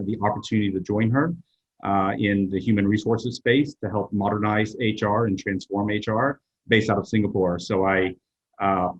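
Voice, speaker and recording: A middle-aged man whose first language is Vietnamese, answering interview questions in a measured way with frequent pauses. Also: fairly low pitch, slightly rough, muffled recording. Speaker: HR executive guest.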